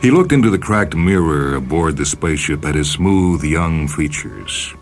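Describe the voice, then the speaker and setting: A man reading a short story as a dramatic, measured and expressive narration. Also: very deep voice. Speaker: reader of short stories for a radio program. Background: music.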